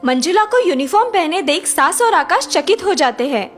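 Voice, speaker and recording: Animated high-pitched woman story narrator. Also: moderate pace, faint music behind. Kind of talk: scripted children's story narration.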